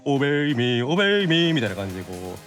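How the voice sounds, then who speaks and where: A man with a medium-pitched voice that swings expressively as he chats. He is a playful, conversational guest on a casual radio show, with faint music in the background. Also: big pitch swings, fast talker, fairly bright tone. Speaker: podcast guest.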